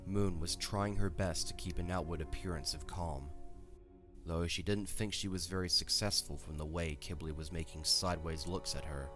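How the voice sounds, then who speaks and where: A man's voice: low-pitched, fairly even intonation, moderate pace, music in the background. A man reading scripted narration for an audio drama.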